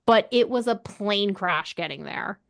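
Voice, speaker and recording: A woman's voice: medium pitch, lively intonation, measured pace, gravelly. A woman co-hosting a book podcast in casual chat, speaking in an informal, opinionated and emphatic way.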